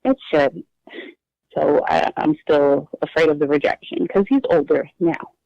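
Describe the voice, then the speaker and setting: A woman guest telling her adoption story in a personal interview, hesitant and halting, with frequent restarts. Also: low-pitched, vocal fry, dark tone, no echo. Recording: dull lo-fi dry recording.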